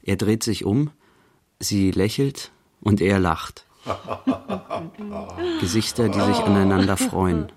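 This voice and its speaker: The narrator of a literary radio drama. His voice is fairly low and matter-of-fact, laying out the story in short clipped phrases. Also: slow, pauses often, darkish tone, husky, heavily acted. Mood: wry, observational. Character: dry male narrator observing an artist's life.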